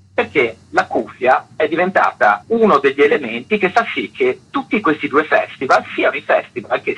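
A man gives a detailed, articulate explanation as a radio interview guest. His voice is strikingly raspy, with lively rises and falls in pitch and a bright, forceful edge. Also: rough recording. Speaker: festival artistic director, interview guest.

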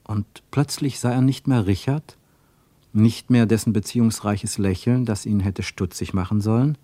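A man narrating a literary radio play with measured, deliberate phrasing. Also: lowish voice, mellow, no echo.

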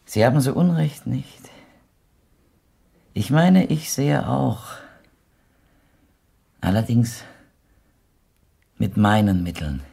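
An actor playing a blind widowed former musician with a flowery, hesitant, formally polite and ingratiating way of speaking. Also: male voice, mid-range voice, expressive, takes it slowly, creaky, long pauses, warm tone, breathy edge, heavily acted. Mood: flattering, observant. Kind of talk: German radio drama acting.

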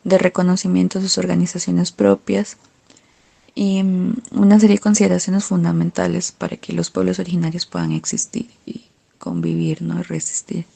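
A woman with a Costa Rican accent giving an informal explanation as a radio contributor, halting as she searches for words. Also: on the low side, little pitch movement, creaky, dark tone, husky. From Costa Rica.

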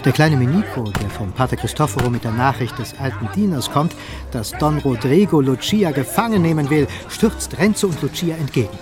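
A man giving expressive, dramatic scripted narration as the storyteller of a radio play. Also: medium pitch, fairly quick. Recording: studio narration over music.

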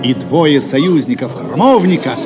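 A man's voice: medium pitch, big pitch swings, measured pace. A man narrating an audio play as a dramatic, theatrical storyteller with declamatory delivery. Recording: music behind the voice.

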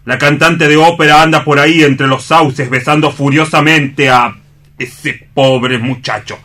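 An actor playing an earnest, disappointed assistant in a radio drama, deferential and comically solemn. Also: male voice, a little high, steady tone, a little slow, pushed and bright. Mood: disillusioned. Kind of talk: dramatic staged radio reading.

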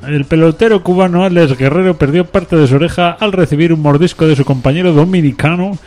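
A man's voice: a little high, fast talker, darkish tone. A man, co-hosting a radio show and reading news items in a casual, joking way with frequent laughs. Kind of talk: casual news chat, half read.

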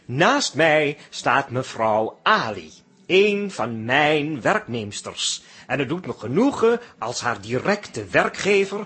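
A pompous, husky, high-pitched manager. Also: male voice, big pitch swings, takes it slowly, fairly bright tone, heavily acted. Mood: pompous, ceremonial. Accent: Dutch, from the Netherlands. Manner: pompous, formal, declamatory. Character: pompous, officious middle-aged store manager giving speeches. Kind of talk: radio drama acting.

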